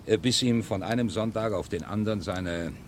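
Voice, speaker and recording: A man plays an old scholarly leader of outcasts in a vintage radio drama. He speaks low, with easygoing authority, over a faintly noisy background. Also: normal speed, close to an everyday voice. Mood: genial. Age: older. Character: old scholarly vagabond leader, genial and composed. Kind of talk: 1970 German radio play acting.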